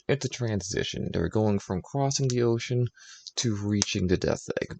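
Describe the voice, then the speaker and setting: A man's voice: on the low side, gravelly, a little mellow. A man streaming as he writes fan fiction, casually explaining his choices and thinking aloud. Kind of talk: casual commentary on his own writing.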